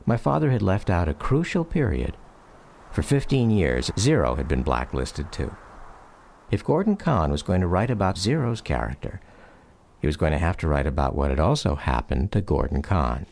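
Low-voiced American son narrating. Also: male voice, sing-song, normal speed, vocal fry, a little mellow, full character voice. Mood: grave, reflective. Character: grown son narrating his hounded father's story, reflective. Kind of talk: radio drama narration. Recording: radio drama with music behind.

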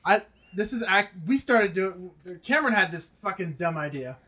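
A man chatting casually as a podcast co-host, sweary, sarcastic and animated in manner. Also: sits high, moderate pace. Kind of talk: casual comedic group chat.

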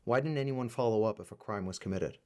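A man's voice: on the low side, fast talker, warm tone, lightly acted. A man acting in a radio play as a businesslike, wry government official helping an investigation.

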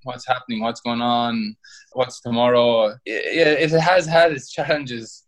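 A young man with an Irish accent talks at an easy, rambling pace about his life playing football abroad. He often restarts mid-phrase. His voice sits at an ordinary pitch for a man. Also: steady tone, a little slow. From Ireland. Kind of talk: interview over a call.

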